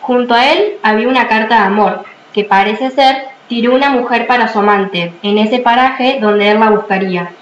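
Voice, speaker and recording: A woman's voice: on the high side, moderate pace, hardly any pauses, lightly acted. A woman playing a policewoman reporting findings in an amateur radio play. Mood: formal. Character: policewoman explaining investigation findings. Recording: dull, echoey, faint noise.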